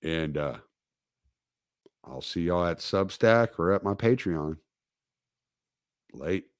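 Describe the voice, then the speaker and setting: A man's voice: low voice, animated, slow pace. A male host in casual livestream talk, laid-back and chuckling, with words that trail off.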